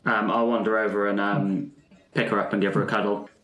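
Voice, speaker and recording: A man's voice: fairly low pitch, level tone, a little fast, fairly bright tone. Casual man at a game, roomy sound. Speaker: player in a roleplaying game. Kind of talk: casual improvised game talk.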